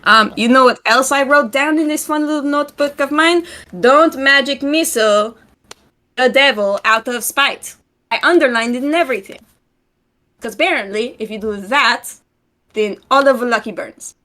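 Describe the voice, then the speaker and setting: A woman's voice: high voice, normal speed, bright, forceful tone. A woman playing a tabletop role-playing game, chatty and fussy about keeping notes. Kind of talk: in-character table talk.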